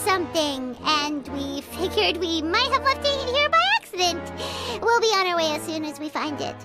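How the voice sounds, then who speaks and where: A woman's voice: very high-pitched, big pitch swings, normal speed. A woman voicing a tiny, chirpy fairy companion girl in a video game, chatty, inquisitive and childlike. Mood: coaxing. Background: game music.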